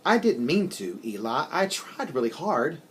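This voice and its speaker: A man's voice: a little high, big pitch swings, a little slow, a little hiss or hum. A man voicing a timid, self-doubting little wooden puppet person in a storybook, hesitant and meek. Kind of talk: storybook character reading.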